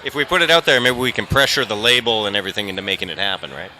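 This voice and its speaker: A man's voice: on the low side, animated, rapid-fire, sharp tone, slight background noise, poor audio. A man in a rock band, a father, speaking earnestly and reflectively in a casual outdoor festival interview.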